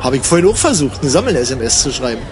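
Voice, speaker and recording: A man's voice: medium pitch, big pitch swings, quick pace, a crowd in the background. A man in casual, rambling conversation, exclaiming and mock-dramatic in manner.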